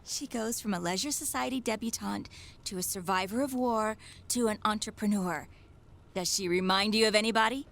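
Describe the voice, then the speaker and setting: A woman playing a caring, persistent caregiver in a naturalistic radio drama, probing and gently teasing as she persuades. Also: mid-range voice, normal speed, gravelly. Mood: dry, probing. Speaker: actor in an audio drama.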